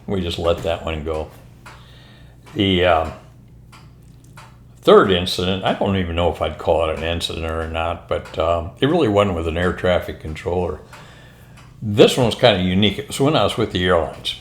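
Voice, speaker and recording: An older American man, a former pilot, telling anecdotes in a casual, unhurried, rambling conversational way. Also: low voice, a little hiss or hum. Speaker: retired military and airline pilot telling stories. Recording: roomy, echoing room sound.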